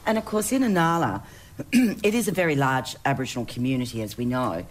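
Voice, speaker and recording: A woman's voice: rumbling low, a little fast, low-quality recording. A woman with an Australian accent moderating a live panel in a conversational style.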